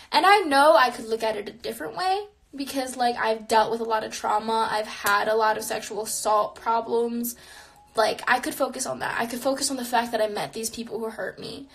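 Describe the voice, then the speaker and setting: A woman's voice: fairly high pitch, vocal fry. A woman in her twenties chatting casually on a live stream in a small bedroom, answering viewer questions and filling her talk with "like.". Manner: chatty, frequent "like" fillers, reflective. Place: small bedroom with neon lights, indoors. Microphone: phone camera close to face.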